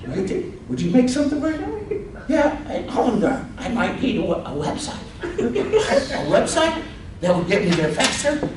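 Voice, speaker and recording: A man's voice: extremely high pitch, wildly swooping pitch, moderate pace, background noise, voice pushed up, full character voice. A man playing an ancient, fussy male angel who rambles and giggles while teasing a crowd, recorded from far off in an echoey event room.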